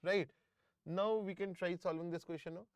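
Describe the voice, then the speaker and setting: A man's voice: high-pitched, steady tone, moderate pace, clear, resonant tone, dry recording. A middle-aged man with an Indian English accent, teaching a live online engineering class, often repeating himself and checking in with students. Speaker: engineering exam tutor. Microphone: close microphone.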